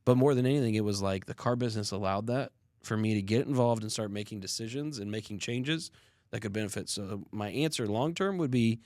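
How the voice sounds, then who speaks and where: Brief: young man, podcast co-host, lowish voice, earnest and reflective, close dry mic. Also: fairly quick, a little mellow, dry recording. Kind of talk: casual podcast conversation.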